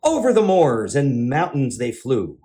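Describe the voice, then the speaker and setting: A man reading a rhyming picture book aloud to children in a theatrical, emphatic, expressive way. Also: mid-range voice, measured pace. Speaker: children's storyteller, teacher and entertainer.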